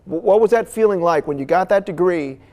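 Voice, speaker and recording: A man's voice: sits high, animated, a little fast, darkish tone. An American man working as a morning TV news anchor, reading stories and doing live interviews in broadcast style.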